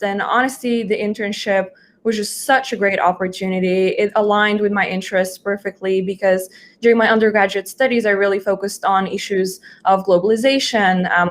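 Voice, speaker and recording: Young woman, conversational guest speaker. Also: medium pitch. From Bulgaria. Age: 20s. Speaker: guest, junior research analyst. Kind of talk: conversational account of own work.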